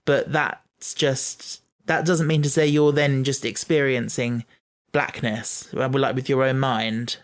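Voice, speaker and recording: A man of middle pitch co-hosting a relaxed podcast conversation. He reasons things out as he goes, trailing off, restarting and circling back, in an unhurried, chatty way. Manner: thinks aloud, stumbling, many restarts. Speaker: podcast co-host. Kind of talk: casual chat.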